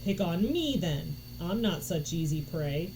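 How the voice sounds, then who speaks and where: A woman's voice: lowish voice, lively intonation, unhurried, darkish tone, rough recording. A woman voicing a reckless, gleeful sword-fighting girl from a game world, bold and taunting, in a storybook reading. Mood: taunting, bellowing. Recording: noticeable background noise.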